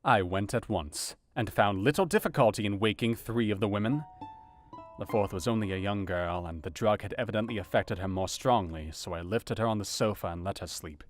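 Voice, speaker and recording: A man's voice: on the low side, natural voice. Man acting earnest doctor narrating over music. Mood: grave, measured. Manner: measured, formal, dramatic. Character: earnest male doctor recounting a grim night. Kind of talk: dramatised audiobook narration.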